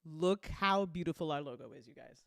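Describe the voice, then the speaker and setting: A woman hosting a casual livestream, chatting informally with viewers in a playful, teasing, sweary and animated way. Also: low voice, brisk, creaky, no echo, studio quality. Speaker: host of an audio drama network's livestream.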